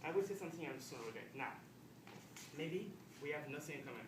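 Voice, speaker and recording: A man's voice: on the high side, expressive, quick pace, natural voice. A man playing an exasperated, sarcastic young lawyer husband quarrelling with his wife in a stage comedy-drama. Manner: sardonic, argumentative. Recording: distant, echoey room with background noise.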